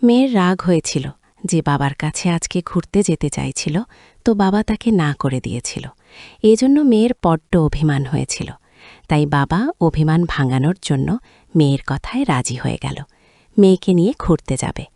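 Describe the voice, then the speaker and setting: A woman's voice: sits low, expressive, moderate pace, dark tone, no echo, clean studio sound. A woman tells a family story in an informal, colloquial way, as a storyteller who voices the dialogue herself.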